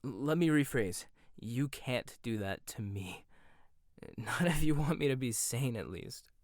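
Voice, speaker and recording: A man performing a gentle, intimate ASMR roleplay monologue, hesitant at times and teasing at others. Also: mid-range voice, animated, no echo, studio quality.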